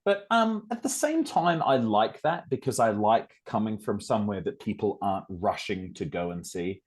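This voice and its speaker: A man's voice: on the low side, animated, normal speed, slightly rough. A man from South Australia with an Australian accent, hosting a casual interview and chatting in a rambling way with many fillers. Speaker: podcast host.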